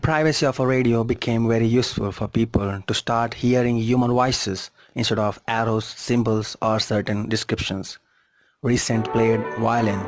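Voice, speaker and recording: A man reading a scripted history narration as a documentary narrator. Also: fairly low pitch.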